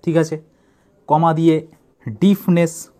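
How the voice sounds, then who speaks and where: A man with a somewhat high-pitched voice gives a writing lesson. He goes very slowly, dictating in small separated chunks and explaining each as a teacher would. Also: level tone, dark tone. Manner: dictates in short separated phrases, then explains.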